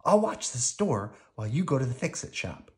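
A man's voice: mid-range voice, very animated, normal speed, a little mellow, voice pushed up. A man voicing a friendly, caring adult woman in a children's storybook.

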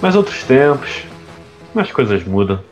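A man plays an evasive young playboy with troubles, in an audio drama. His mid-pitched voice swings widely, and music plays clearly behind it. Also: very animated, a little slow, lo-fi sound. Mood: evasive, affectionate. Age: young adult. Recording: music under the voice.

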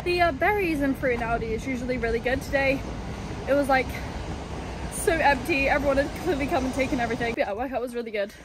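A woman's voice: high-pitched, fast, loud music behind the voice, poor audio. Chatty English woman vlogging. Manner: chatty, rambling, many fillers. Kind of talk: casual vlog chat.

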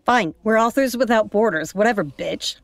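An actress playing a brash, self-important aspiring author in a comedy audio drama, bossy and pushy. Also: female voice, medium pitch, moderate pace, no echo, lightly acted. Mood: offended.

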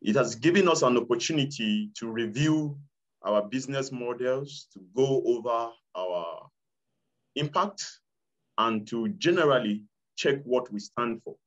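Casting: earnest Nigerian man, medium-pitched voice, formal thanks, lo-fi call sound. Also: animated, unhurried. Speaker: competition participant. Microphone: video call. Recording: muffled video call audio.

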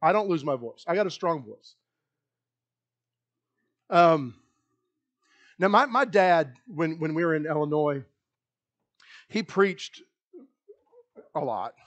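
A middle-aged man with a Kentucky accent preaching a lively, animated sermon in a small church hall on a handheld mic, often repeating himself as he paces the stage. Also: fairly high pitch, takes it slowly, pauses often, highs cut off. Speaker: pastor. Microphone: handheld mic, filmed by a far wide camera.

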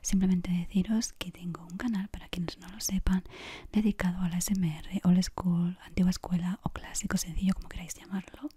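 A woman with an accent from Spain whispers gently and soothingly in a breathy voice, playing an ASMR shop assistant up close to the mic. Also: lowish voice, flat delivery, brisk. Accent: Spanish from Spain. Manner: soft, gentle, soothing, many diminutives. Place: small indoor room. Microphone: close microphone. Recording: close mic with clear background noise.

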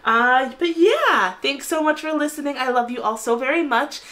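A woman in her thirties talking casually to camera in a small home kitchen, chatty and animated. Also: sits high, normal speed. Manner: chatty, animated, gestures a lot while talking. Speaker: vlogger. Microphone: camera at a distance.

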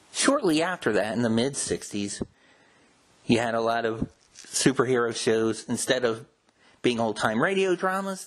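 A man hosting a show, talking in a casual, informative way. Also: medium pitch. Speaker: host. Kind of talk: casual informative talk on old radio show history.

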